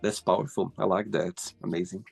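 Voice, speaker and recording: A man's voice: deep voice, flat delivery, fairly quick, gravelly, darkish tone, slightly rough, soft background music. A man with a Brazilian accent hosting a casual interview, effusive and full of "you know" fillers. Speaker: host.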